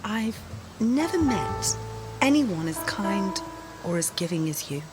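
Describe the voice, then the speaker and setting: A woman's voice: medium pitch, big pitch swings, slow, poor audio. A woman playing a volatile, needy, cutting girlfriend in an intimate audio drama, blunt, profane and intense. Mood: tender, pleading. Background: music.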